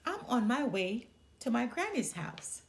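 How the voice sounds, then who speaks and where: A woman's voice: a little high, sing-song, unhurried, a little hiss or hum, pitched above the performer's own voice. A woman playing a sweet, innocent little girl in a children's storybook, trusting and polite. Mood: innocent cheerful. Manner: trusting, earnest. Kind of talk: children's storybook character reading.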